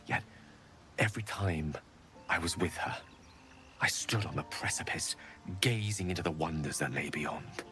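An actor voicing a proud, rueful human wizard prodigy in a video game, speaking in eloquent, measured, theatrical phrases. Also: male voice, sits low, sharp tone, soft background music. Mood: wistful. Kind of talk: dramatic video game dialogue.

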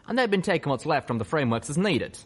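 A man's voice: a little high, big pitch swings, fast talker, breathy edge, pitched above the performer's own voice. A man voicing a folksy, plainspoken male pegasus pony in an audiobook story. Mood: explanatory.

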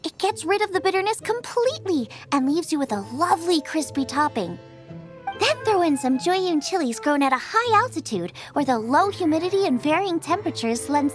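A woman voicing a spirited, confident young girl chef in a video game, speaking in an emphatic, bubbly way over music. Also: extremely high pitch. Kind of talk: acted video game dialogue. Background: game background music.

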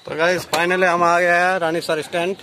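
A man's voice: high-pitched, moderate pace, background noise. A young man chatting casually to viewers in a vlog, filmed on a phone held at arm's length outdoors and in his car. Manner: flat, plain narration addressed to viewers. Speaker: vlogger, hire-car driver. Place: outdoors in a village, then inside a car.

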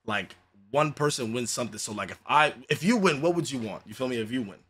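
A man's voice: medium pitch, expressive, fast talker, fairly bright tone, husky. A man in his twenties chatting casually on a stream, laid-back and slangy, from a bedroom setup on a headset. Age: 20s. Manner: laid-back slangy talk, lots of "you feel me". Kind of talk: casual flirty chat.

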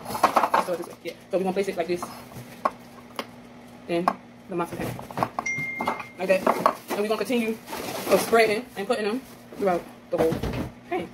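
A woman's voice: medium pitch, steady tone, normal speed, long pauses. Young woman talking y'all through a recipe in her kitchen, phone mic, pots and pans clinking, noisy. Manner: chatty, addresses viewers as y'all. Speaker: home cooking vlogger. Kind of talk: casual cooking tutorial. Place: home kitchen, indoors. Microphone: phone camera on the counter.

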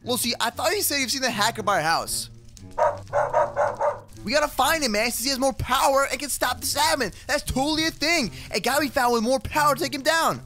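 A man playing an excitable, slangy, wisecracking adventurer in a video game roleplay. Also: extremely high pitch, brisk, over music. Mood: upbeat. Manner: slangy, jokey, stammering. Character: excitable, wisecracking young adventurer with a pet companion. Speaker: YouTube roleplay creator. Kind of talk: improvised game roleplay acting.

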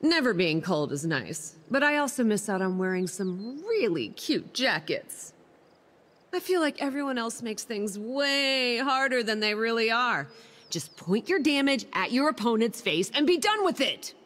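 A woman's voice: on the high side, sing-song, unhurried, a bit bright. A woman playing a brash, hotheaded young fire mage in a video game, with cocky, punchy delivery and long reverb. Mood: cocky, teasing. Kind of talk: video game voice pack lines.

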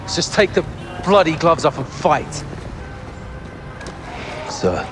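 An actor playing a frustrated young British sergeant, speaking bluntly and with indignation. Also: male voice, on the high side, sing-song, takes it slowly, music in the background. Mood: bitter, frustrated.

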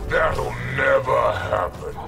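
An actor playing an arrogant, brutal warlord emperor in a fighting game, speaking with imperious, booming menace. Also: male voice, expressive, takes it slowly, gravelly, distant mic, over loud music, exaggerated voice, poor audio. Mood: threatening.

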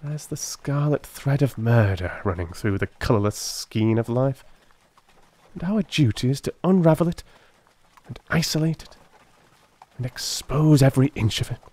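A man playing a brilliant, brisk detective in a dramatised storybook, commanding, precise, excitable and impatient. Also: medium pitch, voice pushed up, close to an everyday voice. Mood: excited, elated.

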